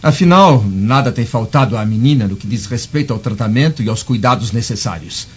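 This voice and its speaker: A haughty Roman senator in a radio drama, with a Brazilian accent. His husky voice swings widely in pitch as he scolds and scoffs imperiously. The sound is dull and lo-fi. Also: expressive, a little fast. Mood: scornful, indignant. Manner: imperious, theatrical, scornful. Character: haughty Roman senator, skeptical husband and father. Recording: dull lo-fi recording.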